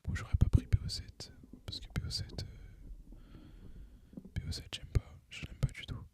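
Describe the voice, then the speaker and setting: A young man speaks entirely in a soft whisper, as an ASMR gaming host would. His chat is informal and hesitant, drifting along without hurry from one thought to the next. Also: a little slow. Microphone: microphone recorded through a phone, voice-over on gameplay.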